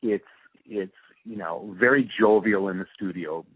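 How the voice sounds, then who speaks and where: A man's voice: lowish voice, unhurried, husky, no room sound. An older-sounding man reminiscing and explaining his craft in a casual, conversational interview over the phone. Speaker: interview guest, radio drama producer. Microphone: phone call. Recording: tinny narrowband phone line.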